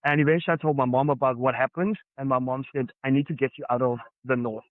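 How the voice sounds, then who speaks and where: A man's voice: mid-range voice, steady tone, quick pace, no room sound. A man with a Johannesburg South African accent, earnestly recounting his past as a podcast guest and acting out the dialogue. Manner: earnest, reflective, acts out dialogue. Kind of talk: personal storytelling. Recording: dry, phone-like narrow sound.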